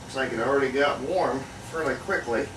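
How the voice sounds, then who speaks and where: A man giving a casual hands-on demonstration, unhurried and folksy, thinking aloud as he works. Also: medium pitch, background noise. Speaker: hobbyist restorer and video host.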